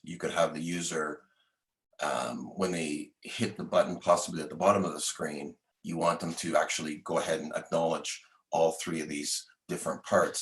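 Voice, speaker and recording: A man hosting a developer livestream, giving casual product updates and introducing segments in a loose way over a video call. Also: deep voice. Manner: casual, loose with fillers and restarts.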